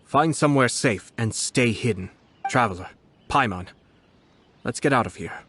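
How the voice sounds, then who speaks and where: A man voicing a composed noble official in a game, with a mid-pitched voice and a measured, formal way of speaking. He stays calm and polite, over a faint hiss of noise. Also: close to an everyday voice.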